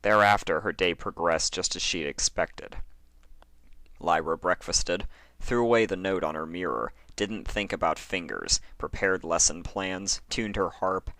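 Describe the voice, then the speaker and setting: Deep, bright-voiced male horror narrator. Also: dry recording. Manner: deliberate phrasing with dramatic pauses. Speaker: fan fiction narrator. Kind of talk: dramatic scripted horror story narration.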